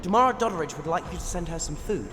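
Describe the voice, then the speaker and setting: A polite, stubborn teenage schoolboy in a fantasy game. His voice is high for a man and lively, in short plain replies. Music is loud behind him. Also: very fast. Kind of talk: video game character acting.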